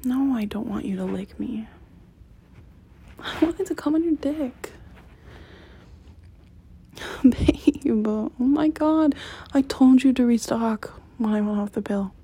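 A woman performing an intimate audio roleplay in a soft, close whisper. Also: on the high side, takes it slowly, pauses often, husky.

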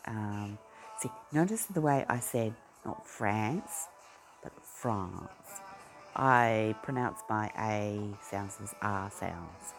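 A man with a broad Australian accent rambles through a casual vlog chat and keeps losing his thread, filling gaps with ums. His voice has a gravelly, creaky edge and an unhurried pace. A song plays clearly behind him. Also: on the low side, expressive, faint music behind, poor audio. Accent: Australian, broadened by life in Queensland. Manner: meandering, easily sidetracked, many ums and restarts. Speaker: vlogger. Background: a pop song playing.

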